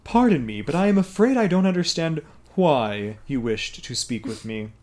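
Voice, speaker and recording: A man's voice: fairly high pitch, sing-song, normal speed, a little mellow. A man voicing a timid, overly polite young wanderer who speaks stiffly and formally.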